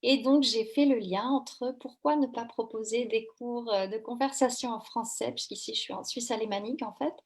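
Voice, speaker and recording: A woman's voice: a little high, moderate pace, hardly any pauses. A woman from France working as a running coach, answering questions in a live interview, with many fillers and restarts. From France, Brittany. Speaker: running coach and French teacher.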